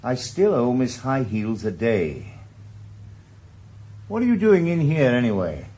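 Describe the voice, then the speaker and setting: An actor playing a stubborn, dry-witted retired detective in a 1980s radio drama, with a Welsh accent. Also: male voice, medium pitch, very animated, measured pace, dark tone. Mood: dogged. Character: dogged retired detective, middle-aged man, stubborn. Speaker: retired detective turned private investigator.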